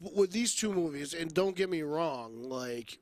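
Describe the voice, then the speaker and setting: A male podcast panelist with a somewhat high voice and a dry, close sound. He gives his opinions casually, in a halting flow full of filler "like". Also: animated, a little mellow, no echo.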